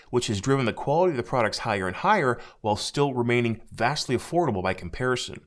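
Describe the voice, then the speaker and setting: An American man narrating a scripted hi-fi speaker review in a measured, dryly self-deprecating way. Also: mid-range voice. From United States. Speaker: audio reviewer.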